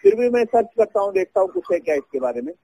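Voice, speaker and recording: Hesitant higher-voiced male scholar on the phone. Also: huge pitch swings, very fast, vocal fry, dark tone, breathy edge, no echo. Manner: hesitant, searching for words. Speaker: religious scholar at an Islamic research center. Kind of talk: phone conversation, answering religious questions. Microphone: telephone. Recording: phone call recording.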